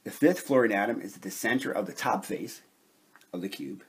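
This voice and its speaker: A man with a slightly husky voice giving a measured, methodical lecture, explaining step by step as an instructor. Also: fairly low pitch. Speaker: chemistry instructor.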